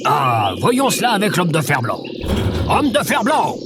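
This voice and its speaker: A man's voice: high-pitched, huge pitch swings, unhurried, loud music behind the voice, heavily acted. A man playing eccentric, pompous scarecrow and tin-man characters, musing theatrically in a scripted audio drama. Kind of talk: scripted audio fiction acting.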